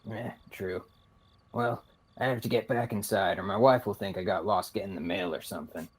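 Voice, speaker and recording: Young man as husky, resigned neighbour. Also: on the low side, steady tone, moderate pace. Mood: friendly. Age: young adult. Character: disgruntled, resigned married neighbour and buddy. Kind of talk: radio theatre acting.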